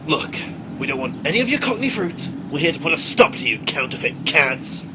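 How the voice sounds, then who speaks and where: Man as an excitable, high-pitched comic defendant. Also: a little fast, background noise. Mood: indignant. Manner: indignant, dramatic. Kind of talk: comedy sketch acting.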